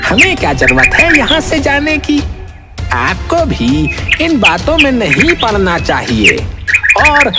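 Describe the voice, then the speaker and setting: Very animated, highish pitch marks a woman acting a cheeky, dismissive cartoon monkey who likes an easy life. The delivery is slow and emphatic, over loud music. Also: full character voice. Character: insolent, comfort-loving monkey of the troop.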